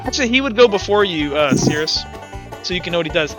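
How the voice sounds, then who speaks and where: High, bright-voiced male game master. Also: expressive, quick pace, faint music behind. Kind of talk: narrating a tabletop roleplaying game.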